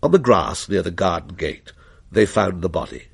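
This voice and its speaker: A man's voice: sits low, sing-song, moderate pace, gravelly, husky. A man narrating a ghost story in a dramatic, literary style.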